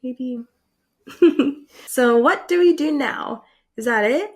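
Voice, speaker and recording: A young woman vlogging casually, reacting in an animated, excitable way and filling her talk with likes and ums. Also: high-pitched, slow pace. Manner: animated, excitable, filler-heavy with frequent likes.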